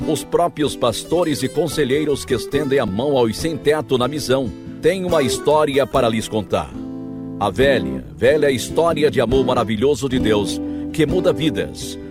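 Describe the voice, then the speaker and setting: A male radio announcer reads scripted, dramatic narration. His mid-pitched voice moves with very lively intonation over clearly audible guitar music. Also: moderate pace. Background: music, plucked guitar.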